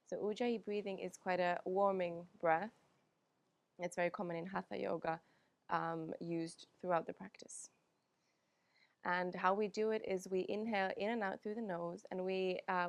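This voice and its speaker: A woman whose first language is Finnish, teaching a yoga class in a calm, unhurried way with frequent pauses and "um"s. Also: fairly low pitch, dry recording. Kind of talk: guided yoga class instruction.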